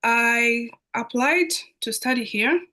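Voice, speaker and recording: A young woman from Uganda joins a live online Q&A over a video call. Her voice sits somewhat high, and she talks in a halting way with many fillers and restarts. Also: pushed and bright. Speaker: guest student.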